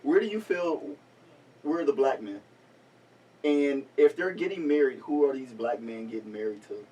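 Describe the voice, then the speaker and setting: Husky-voiced man in his forties hosting. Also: medium pitch, moderate pace, mellow, far from the mic, poor audio. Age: 40s. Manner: easygoing, prompting questions. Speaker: host. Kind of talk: hosting a panel talk.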